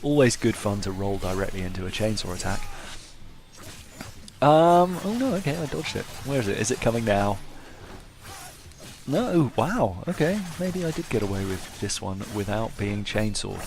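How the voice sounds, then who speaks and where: Man, lowish voice, casual wry game commentator, pokes fun at himself, faint noise behind. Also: big pitch swings, moderate pace, vocal fry. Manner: self-deprecating, wryly humorous. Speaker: gameplay commentator.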